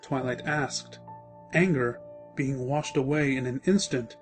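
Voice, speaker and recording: A man's voice: medium pitch, unhurried, faint music behind. A man reading scripted story narration as the narrator of a fan-fiction drama.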